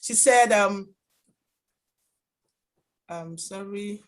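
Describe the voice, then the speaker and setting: A woman informally moderating an online workshop as host, speaking in a halting, distracted way on a video call. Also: mid-range voice.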